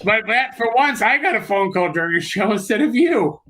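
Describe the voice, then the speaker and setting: A man's voice: sits high, lively intonation, brisk, a loud crowd. A man co-hosting a live show in casual chat, rambling a little and repeating words as he goes.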